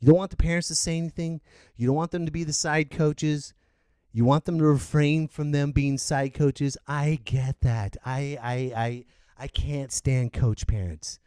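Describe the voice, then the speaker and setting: Man, ranting podcast host, loud sudden yells, fairly high voice, dry close mic. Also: steady tone, moderate pace, smooth, dry recording. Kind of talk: opinion rant.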